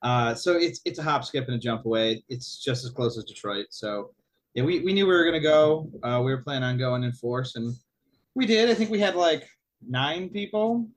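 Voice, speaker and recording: A man's voice: medium pitch, animated, normal speed. A man co-hosting a podcast in easygoing casual chat, often saying "you know". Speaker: podcast co-host.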